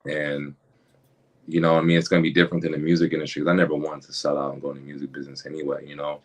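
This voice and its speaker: Brief: male rapper guest with a very low voice, casual slang-filled talk full of stops and restarts. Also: monotone. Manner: slangy, halting, with many restarts and "you feel me" asides. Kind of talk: casual conversational interview.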